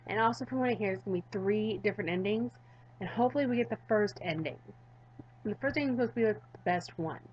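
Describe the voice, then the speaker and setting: A woman's voice: medium pitch, quick pace, husky. Woman gaming narrator, slightly lo-fi sound. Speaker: gaming YouTuber. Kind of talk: visual novel read-aloud and casual commentary.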